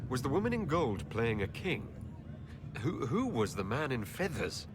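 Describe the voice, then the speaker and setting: An actor playing a composed, good-humoured heroic leader in a video game, polite and gently dry. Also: male voice, mid-range voice, sing-song, normal speed, background noise, lightly acted. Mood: delighted. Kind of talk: video game cutscene acting.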